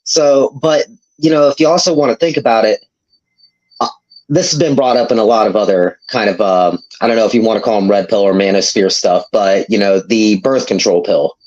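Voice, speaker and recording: A man's voice: on the low side, steady tone, a little fast, darkish tone. Thirtysomething guy rambling on a muffled call, lots of you know. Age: 30s. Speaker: panel guest. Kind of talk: casual discussion. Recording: dull call audio.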